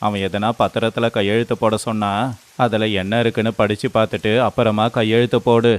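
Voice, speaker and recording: A concerned, poor villager from a moral tale , played by a man. The voice is low and resonant. He speaks earnestly, like a friend urging caution. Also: little pitch movement, a little fast, no room sound, lightly acted. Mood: resigned, caring. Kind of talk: dramatized moral story voice acting.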